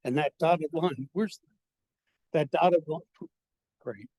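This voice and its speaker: An older man presents at a seminar, talking in a folksy, halting way. His voice sits a little high for a man. He is speaking into a handheld mic in a lecture hall. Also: warm tone. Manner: folksy, halting, self-deprecating with slides. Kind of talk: casual conference presentation. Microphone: handheld mic, held low at times.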